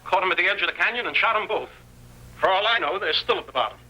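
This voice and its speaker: Old frontier cabin-dweller: crusty, folksy, rural Western American, very bright and raspy, old-time radio drama. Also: male voice, sits high, fast, exaggerated voice, poor audio. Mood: grumbling. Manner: folksy, excitable backwoods talk.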